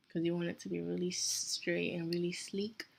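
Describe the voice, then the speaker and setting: Relaxed young Nigerian woman, low and level. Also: gravelly. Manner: relaxed, self-correcting, chatty asides. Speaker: hair tutorial vlogger. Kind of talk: casual step-by-step tutorial.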